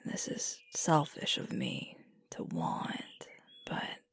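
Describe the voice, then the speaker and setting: A woman playing a sweet, sleepy alpha werewolf girlfriend in an intimate ASMR roleplay, speaking in a gentle, husky, unhurried murmur close to the microphone. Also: lightly acted. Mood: hesitant, confiding. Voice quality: breathy, husky with creaky fry. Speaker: ASMR performer. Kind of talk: ASMR roleplay, intimate whispered and soft-spoken. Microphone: close mic, dry.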